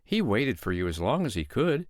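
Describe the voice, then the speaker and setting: A man voicing a calm, shrewd foster mother, talking in a sweetly sly, coaxing way. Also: mid-range voice, moderate pace, dry recording, studio quality, close to an everyday voice.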